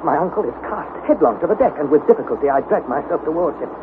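A man's voice: fairly high pitch, expressive, fast talker, natural voice. A man playing an anxious young nephew who narrates a perilous sea voyage as a dramatised journal, in a theatrical, intense manner. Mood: intense, awestruck. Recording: tinny narrow band, heavy background noise.

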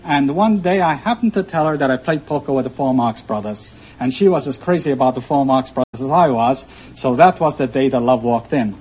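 A man's voice: mid-range voice, fairly quick, darkish tone, breathy edge. A man, an articulate, earnest quiz show contestant telling stories on an old broadcast recording. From New York City, born in Austria. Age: 50s. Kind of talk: conversational storytelling in an interview. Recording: muffled, noisy vintage broadcast recording.

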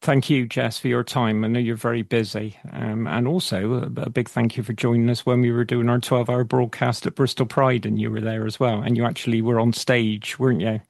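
A man hosting a radio interview, earnest and thoughtful, his voice a bit croaky. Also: mid-range voice, level tone, a little fast, hardly any pauses, a little mellow, no room sound, studio quality. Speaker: radio show host.

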